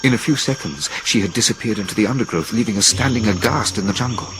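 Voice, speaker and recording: A man reading a first-person adventure story as a theatrical, suspenseful audiobook narrator, with measured, deliberate phrasing. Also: lowish voice, noisy background. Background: music under the voice.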